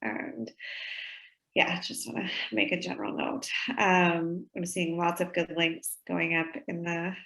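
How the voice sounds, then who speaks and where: A woman's voice: lowish voice, lively intonation, unhurried, creaky, fairly bright tone, echoey room, low-quality recording. A woman co-hosting a virtual reading in casual chat over a video call, chatty and laughing often. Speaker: co-host.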